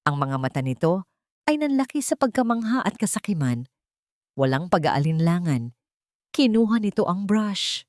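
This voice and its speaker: Female narrator for scripted dramatic fiction, lower register, close dry sound. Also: big pitch swings, moderate pace, pauses often, darkish tone, dry recording. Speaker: storyteller.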